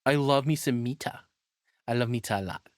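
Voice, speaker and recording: A man's voice: mid-range voice, fairly quick, no echo, clean studio sound. A man giving casual, enthusiastic, rambling live reaction commentary as a streamer.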